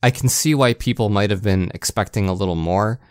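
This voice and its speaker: This man has a clear, smooth voice on the low side, recorded dry and close. He sounds like a podcast host in a casual game discussion. His delivery is conversational, punctuating points with little rhetorical check-ins. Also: a little fast, dry recording.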